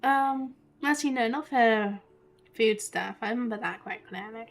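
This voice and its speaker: High-voiced woman streaming a game. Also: moderate pace. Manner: giggly, chatty. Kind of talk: casual livestream commentary. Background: game music.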